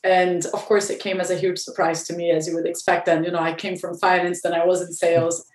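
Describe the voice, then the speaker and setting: Brief: low-voiced woman from Brazil, company president interviewed, steady and reflective, a bit far off in a roomy space. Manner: measured, thinking aloud with restarts and fillers. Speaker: company president, podcast guest. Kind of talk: business interview.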